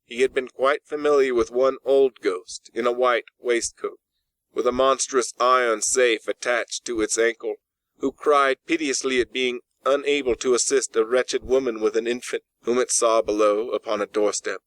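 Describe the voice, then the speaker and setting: Bright, forceful male storyteller. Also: medium pitch, steady tone, normal speed, no echo, poor audio. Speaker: audiobook narrator. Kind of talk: scripted dramatic story narration. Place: close, dry in-house recording.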